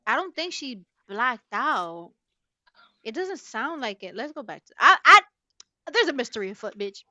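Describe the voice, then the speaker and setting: A woman's voice: on the high side, sing-song, normal speed, bright, forceful tone, dry recording, dull audio. A woman co-hosting a casual book discussion, slangy and repeating her phrases insistently. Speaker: book club podcast co-host.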